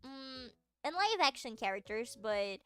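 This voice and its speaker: Giggly high-voiced Brazilian woman streamer. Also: big pitch swings, measured pace, fairly bright tone, smooth, faint music behind. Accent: Brazilian Portuguese. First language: Brazilian Portuguese. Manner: giggly, rambling, many fillers and restarts. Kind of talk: casual chat with viewers.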